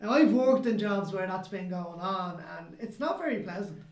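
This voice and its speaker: A man's voice: high voice, lively intonation, normal speed, echoey room. An Irish man co-hosting a podcast, telling stories in a chatty way and often checking in with "right?". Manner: chatty storyteller, tags lines with "right?". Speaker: podcast co-host. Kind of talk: casual chat.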